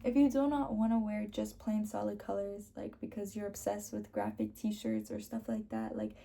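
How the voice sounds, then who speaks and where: Chatty young woman with a gravelly voice. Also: mid-range voice, animated, moderate pace, dark tone. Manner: chatty, sprinkles in lots of "like". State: sore throat, losing her voice. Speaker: beauty vlogger. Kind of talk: casual chat to camera.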